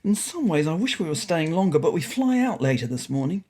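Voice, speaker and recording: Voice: mid-range voice, sing-song, fairly quick, warm tone, husky. A narrator voicing a confident, opinionated male city cat from a storybook, chatty and salesman-like. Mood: confident, breezy. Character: brash, opinionated, smooth-talking male visiting city cat.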